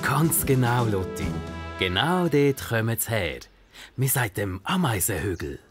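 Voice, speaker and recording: A man's voice: medium pitch, sing-song, a little slow, over music. A man playing a proud, goofy zookeeper dad in a children's cartoon, with a Swiss German accent and a theatrical, exclaiming manner. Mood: enthusiastic. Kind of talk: animated children's bedtime story acting.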